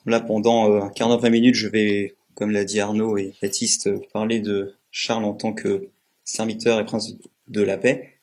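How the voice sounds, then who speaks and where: A man from France moderating a live public talk, asking questions in a halting way and searching for words. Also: on the low side, little pitch movement, a little mellow. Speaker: moderator, interviewer. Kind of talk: live public interview, semi-prepared questions.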